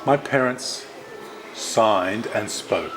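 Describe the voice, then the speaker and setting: Low-voiced male interpreter, measured. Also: music in the background, poor audio. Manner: measured, careful phrasing with small restarts. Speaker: sign language interpreter voicing both sides.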